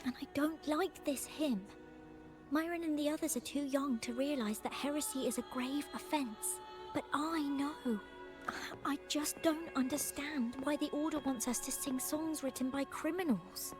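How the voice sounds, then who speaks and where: Female actor as troubled young chorister, high pitch, earnest, unsure, game dialogue, music behind. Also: moderate pace, natural voice. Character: earnest, self-doubting child in a choir. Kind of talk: scripted video game dialogue and monologue.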